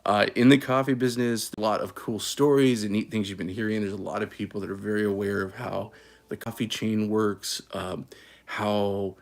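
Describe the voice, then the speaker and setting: A man's voice: lowish voice, flat delivery, moderate pace. A man from California hosting a casual coffee live stream, easygoing and folksy, with many fillers and restarts. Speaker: coffee channel host.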